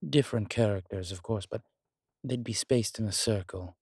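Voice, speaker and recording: A man playing a wry, world-weary demon investigator in a horror roleplay audio, speaking in hesitant, measured, musing phrases with dry humour. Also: lowish voice, darkish tone, slightly rough, dry recording. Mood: pensive, wary. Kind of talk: horror roleplay audio, intimate one-sided dialogue.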